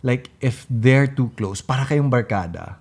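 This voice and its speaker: A young Filipino man co-hosting a podcast, chatting casually in mixed English and Tagalog with a deep, big, commanding voice. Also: lively intonation, normal speed, darkish tone. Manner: commanding, direct, gestures a lot. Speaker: podcast co-host.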